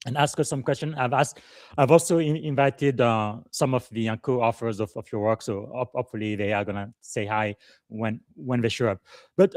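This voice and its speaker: A man's voice: mid-range voice, no room sound. A man hosting a live leadership podcast, giving long formal introductions broken up by frequent "uh" fillers. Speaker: podcast host and leadership coach.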